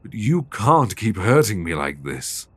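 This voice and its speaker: A man's voice: on the low side, sing-song, unhurried, fairly bright tone, husky, dry recording, heavily acted. A man with a British accent playing a stern, weary but devoted dominant boyfriend in an intimate, emotionally intense audio roleplay, close on a binaural mic. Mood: tender, worried. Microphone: close binaural microphone.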